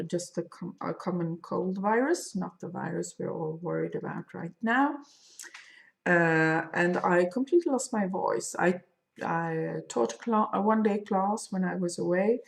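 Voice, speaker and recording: A woman in her fifties with a Swedish accent, a crochet designer chatting casually in her video podcast from a home studio, thoughtful with many pauses. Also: lowish voice, vocal fry. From Sweden. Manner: slow, thoughtful, with frequent pauses and fillers. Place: home studio room, indoors. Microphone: fixed camera at desk.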